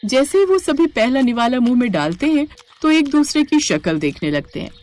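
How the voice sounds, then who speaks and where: A woman's voice: high-pitched, big pitch swings, normal speed, darkish tone. A woman narrating a scripted moral story as the storyteller.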